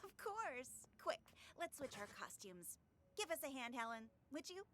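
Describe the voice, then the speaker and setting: Very high-voiced eager young actress. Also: female voice, big pitch swings, normal speed, fairly bright tone. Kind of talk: interactive radio drama acting.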